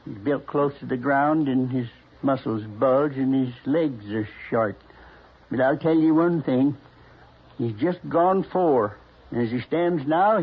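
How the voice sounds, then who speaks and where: Old West rancher: American, hoarse-edged and earnest, stubborn pride, folksy delivery, tinny old radio drama recording. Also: male voice, fairly high pitch. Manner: earnest, folksy, hesitant then insistent. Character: earnest, stubbornly proud small-time horse rancher, man. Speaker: actor in a radio western.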